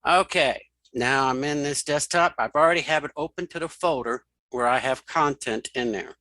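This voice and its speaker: Male tutorial host, middle-aged, crisp dry mic, bright delivery, informal step-by-step narration that thinks out loud. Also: medium pitch, moderate pace, dry recording. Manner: informal, thinking aloud, repeats key steps. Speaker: tutorial host and web developer. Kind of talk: screen reader software tutorial, talking through steps.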